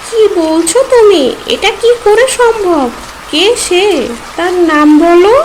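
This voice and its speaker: A shrill greedy predator bird. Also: female voice, slow pace, slight background noise, low-quality recording. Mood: astonished.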